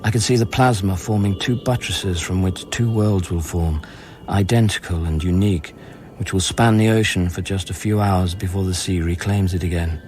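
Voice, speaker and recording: A man's voice: deep voice, steady tone, normal speed, hardly any pauses, a little mellow, a little hiss or hum, natural voice. An actor playing a reflective, troubled scientist narrating in a radio play, pensive and hesitant in his phrasing. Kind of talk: radio drama monologue. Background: music.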